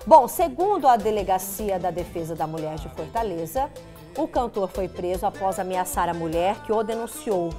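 A middle-aged woman co-hosting a TV celebrity gossip segment, chatty and teasing, quick to react. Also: mid-range voice, lively intonation, music in the background. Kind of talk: lively celebrity gossip chat. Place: TV studio.

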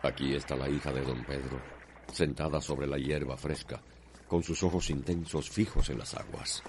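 A man's voice: rumbling low, fairly even intonation, normal speed, a little mellow, slight background noise. A man with a Cuban accent narrating a scripted radio drama in a declamatory, poetic manner.

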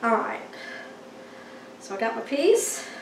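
A woman's voice: a little high, big pitch swings, takes it slowly, vocal fry. A middle-aged woman, chatting with viewers as she demonstrates a recipe in her home kitchen. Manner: chatty, folksy, checks in with viewers. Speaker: home cooking host. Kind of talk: cooking demonstration. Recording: echoey kitchen with faint noise.

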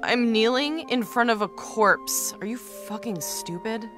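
A woman plays a jaded cartoon femme fatale with a swooping, expressive delivery. She is sarcastic, foul-mouthed and faintly menacing, with clear music behind her. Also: on the high side, unhurried. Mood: contemptuous. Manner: sarcastic, contemptuous.

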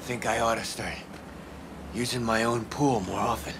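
A man's voice: medium pitch, steady tone, moderate pace, gravelly, background noise, natural voice. A man playing a brash, hedonistic middle-aged rock star in a video game, swaggering, playful and profane. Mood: wry, intimate.

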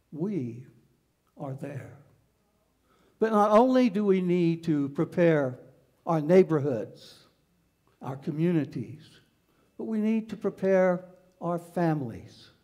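Brief: older male pastor, higher voice, slow steady sermon delivery, large sanctuary. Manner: slow, measured preaching with repeated phrases. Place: large church sanctuary with tall windows.

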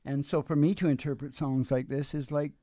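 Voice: medium pitch, steady tone, brisk, mellow, no echo, studio quality. A performer hosting a live acoustic country music show, with guitar in a lo-fi recording. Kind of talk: casual joking stage banter between acoustic country songs.

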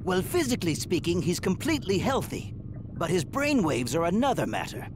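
A man voicing a brisk, clinical alien medic in an anime game, matter-of-fact in manner. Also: high voice, sing-song. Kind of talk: anime game dramatic acting. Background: music.

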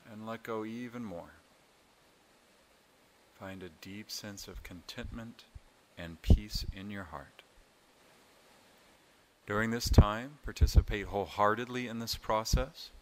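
A man with a very low voice guides a meditation session as a yoga teacher. He speaks very slowly and soothingly, leaving long pauses between short phrases. His delivery stays calm and unhurried. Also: slight background noise, low-quality recording. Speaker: yoga nidra meditation instructor.

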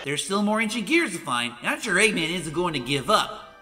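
A man's voice: high voice, very animated, a little fast. A man voicing a cocky, laid-back young hedgehog hero in a cartoon comic dub, breezy and casual. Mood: upbeat. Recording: reverberant, distant, under very loud music.

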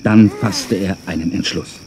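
A man narrating a scripted children's audio drama as a calm storyteller. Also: low voice, measured pace, slight background noise.

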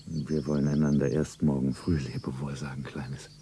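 A very deep, dark-voiced man, playing a tender, evasive lover. He speaks placatingly, over some background noise and chirping. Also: little pitch movement, moderate pace, gravelly. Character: evasive, gentle male lover saying farewell. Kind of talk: melodramatic radio drama.

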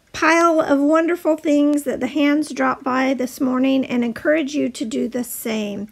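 Higher-voiced woman with a strongly creaky edge. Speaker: church member making a community announcement. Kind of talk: casual spoken appeal.

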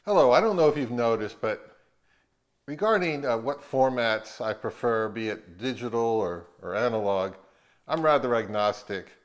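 A man's voice: mid-range voice, lively intonation, normal speed. A middle-aged man talking casually and unscripted to camera at home, hesitant, rambling and self-deprecating. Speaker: video host. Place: open living room at home, indoors.

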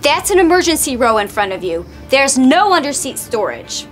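An actress playing a scheming middle-aged flight attendant who sounds falsely sweet. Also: female voice, high-pitched, very animated, normal speed, faint music behind. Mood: sly. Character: scheming thieving female flight attendant, sly. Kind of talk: scripted comedy sketch acting.

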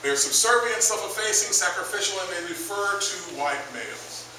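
A man giving a public lecture on theater history, emphatic and deliberate, stressing key words. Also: high voice, lively intonation, pushed and bright, slight background noise. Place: large dim lecture room with projector screen. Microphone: far camera. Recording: echoey room from far off.

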